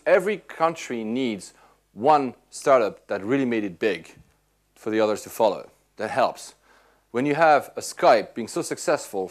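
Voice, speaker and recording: A Swiss-born man answering audience questions in casual, animated talk, often restarting his sentences. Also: mid-range voice. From Switzerland. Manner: animated, many restarts and repeats. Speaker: tech speaker answering questions. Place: lecture room at an institute.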